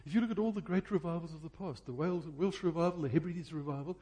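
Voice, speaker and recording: A man's voice: a little high, fast, mellow, slightly rough. A man preaching a sermon, phrasing emphatically and repeating key words for effect.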